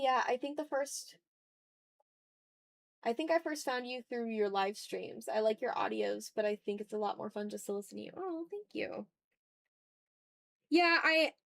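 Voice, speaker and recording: A woman's voice: fairly high pitch, no echo. A woman hosting a livestream, chatting informally and expressively straight to her viewers. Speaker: art livestream host. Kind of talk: casual livestream chat, reading and answering viewer messages.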